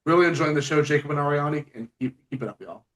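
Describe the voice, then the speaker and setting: A man hosting an informal podcast interview, with a halting, conversational delivery. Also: on the high side, fairly bright tone. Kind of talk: casual interview, reading out listener questions.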